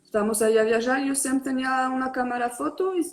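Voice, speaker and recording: High-voiced woman, halting mixed speech. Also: slight background noise. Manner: mixes Spanish and Portuguese, hesitant with many fillers. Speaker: tattoo artist guest. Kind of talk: casual online live interview. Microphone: video call.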